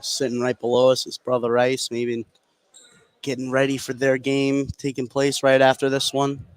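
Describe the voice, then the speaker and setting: A man's voice: mid-range voice, monotone, normal speed, clean tone. A man giving conversational, explanatory analysis as color commentator on a live basketball radio broadcast. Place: indoor basketball gymnasium.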